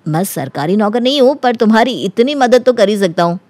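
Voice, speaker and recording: Woman as an earnest, lovestruck young man, raised pitch. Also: animated, fairly quick, a little mellow, dry recording. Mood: reassuring. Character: eager, kind-hearted young man, lovestruck and jokey. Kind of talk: animated Hindi story character acting.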